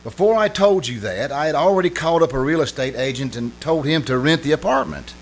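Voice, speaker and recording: A man's voice: a little high, lively intonation, a little fast. A man playing a flustered, pleading husband in old-time radio comedy style, stammering and exasperated. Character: flustered, pleading husband locked in a marital squabble.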